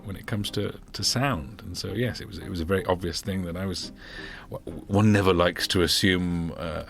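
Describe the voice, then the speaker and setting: A man with a very low voice talks casually about writing the episode. He hesitates a lot and keeps circling back to restart his sentences. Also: normal speed, gravelly. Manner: hesitant, restarts phrases often. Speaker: writer of the episode. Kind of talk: casual behind-the-scenes chat.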